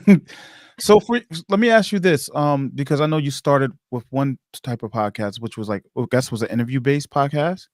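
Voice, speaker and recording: A man hosting a casual podcast interview, talking haltingly and circling back to rephrase his questions. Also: mid-range voice, no echo, clean studio sound.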